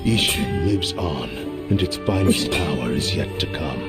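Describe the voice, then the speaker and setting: An actor playing a solemn, remorseful sword spirit in a video game, speaking gravely in formal, archaic phrases. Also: male voice, extremely low pitch, expressive, a little slow. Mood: solemn resolve. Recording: loud music under the voice.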